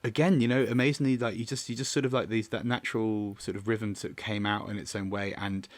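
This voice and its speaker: A man's voice: lowish voice, steady tone, fast talker, dry recording. A man talking reflectively in an interview as a director, hesitant and often repeating words as he searches for the next phrase. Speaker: theatre and audio drama director.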